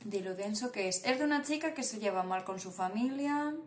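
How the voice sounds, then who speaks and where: A woman's voice: mid-range voice, expressive, a little fast. A young woman with a Castilian Spanish accent chatting casually and rambling informally to the camera as a book vlogger. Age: young adult. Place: small indoor room at home. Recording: echoey room, clear background noise.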